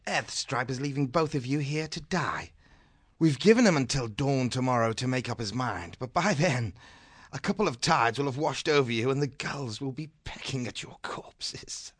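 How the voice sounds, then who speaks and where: A man's voice: medium pitch, moderate pace, fairly bright tone, husky, no echo, studio quality. A man voicing a cruel young male weasel who threatens his captives. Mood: menacing. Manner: cold threatening speech.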